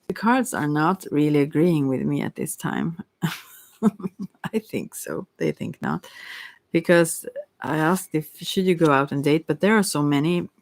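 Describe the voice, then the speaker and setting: A woman with a creaky voice giving an ASMR tarot reading in a hesitant, rambling, casual way, often trailing off. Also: low-pitched, darkish tone, no room sound. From Sweden. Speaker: tarot reader, ASMR creator.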